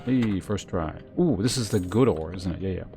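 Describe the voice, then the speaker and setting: A man with a fairly low voice gives casual commentary as he plays a video game. He rambles and thinks aloud. Music plays clearly behind him. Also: expressive, moderate pace, warm tone. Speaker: game streamer. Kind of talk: casual live gameplay commentary.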